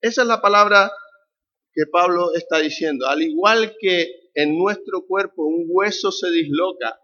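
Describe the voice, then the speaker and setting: A man with a Canarian Spanish accent preaches as if chatting with his congregation, often turning to them with questions. His voice is bright, forceful and slightly high. The recording sounds dull and lo-fi. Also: a little slow. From Canary Islands. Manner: conversational, asks the congregation questions. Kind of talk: sermon with a family anecdote.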